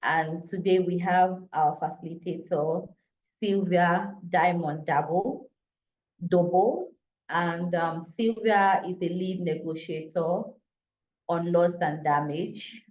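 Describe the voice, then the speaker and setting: A woman's voice: on the low side, fairly even intonation, slow, a little mellow. Woman moderator in a roomy, dull call. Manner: polite, hesitant, with many ums. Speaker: session moderator. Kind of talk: moderating an online session. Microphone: video call.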